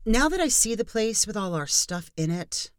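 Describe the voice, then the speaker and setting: A woman's voice: mid-range voice, big pitch swings, normal speed, no room sound, studio quality, voice pushed up, natural voice. A woman voicing an irritated, guarded homeowner who is new in town and speaks curtly. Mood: irked. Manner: curt, annoyed.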